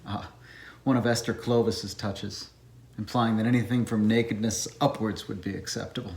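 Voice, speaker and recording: A man's voice: on the low side, steady tone, normal speed, creaky, darkish tone. A man playing a self-satisfied academic bachelor with a musing, urbane manner in a dramatized novel. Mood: wry musing.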